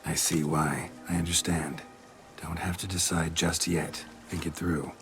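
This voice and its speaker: An actor playing a stoic, gruff monster hunter in a video game, speaking in terse, clipped phrases with a low, gravelly voice. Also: male voice, fairly even intonation, measured pace. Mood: calm. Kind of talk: video game cutscene acting.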